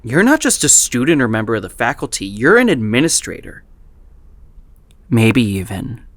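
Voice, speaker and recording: An actor playing an obsessive, exhausted young male student, musing haltingly to himself in a horror audio drama. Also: medium pitch, huge pitch swings, no echo. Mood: intrigued, suspicious.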